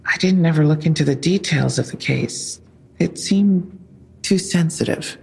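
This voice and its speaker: An actress playing a guarded, defensive police officer in an audio drama, answering tersely and warily in a husky, creaky voice. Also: female voice, low voice, measured pace, a little mellow, big-room sound, heavily acted. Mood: wary. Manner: wary, terse, pushing back.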